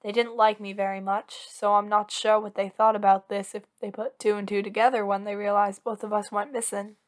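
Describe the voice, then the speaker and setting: A woman's voice: medium pitch, little pitch movement, a little fast, close to an everyday voice. A woman playing an anxious, possessive villain lover in an intimate ASMR roleplay, speaking in an earnest, pleading, insistent way. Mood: soft, reassuring. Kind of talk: ASMR roleplay acting, one-sided dialogue.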